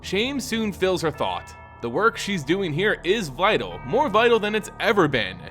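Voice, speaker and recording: A man narrating a scripted fantasy story in a dramatic, solemn and measured storytelling manner. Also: sits high, very animated, a bit bright, soft background music. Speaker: lore narrator.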